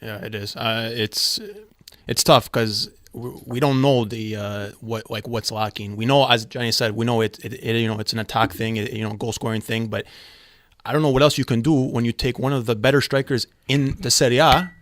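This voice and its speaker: A man's voice: mid-range voice, steady tone, normal speed, fairly bright tone, dry recording. A man co-hosting a sports podcast, talking casually with many fillers and restarts and a slight Italian-tinged accent. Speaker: podcast co-host.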